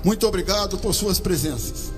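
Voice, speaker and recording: Solemn military commander at a podium. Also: male voice, sits high, in a large hall, faint music behind. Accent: Brazilian Portuguese. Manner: slow, solemn, declamatory. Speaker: army commander giving a ceremonial speech. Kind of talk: formal ceremonial speech. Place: outdoor parade-ground stand. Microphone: podium microphone.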